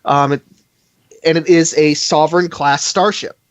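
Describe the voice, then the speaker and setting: A man chatting casually as a podcast host, rambling and halting, with frequent hesitations. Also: a little high, steady tone, no echo. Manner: halting, rambling, chuckles often. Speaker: live stream host. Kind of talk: casual fan podcast chat.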